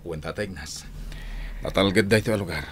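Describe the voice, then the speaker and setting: A man's voice: low voice, fast, a little hiss or hum, close to an everyday voice. A man with an Ilocano accent acting a grim, resolute hero in a radio drama. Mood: grave. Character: young hero Ruben, grim and resolute interrogator. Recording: dramatic music behind the voice.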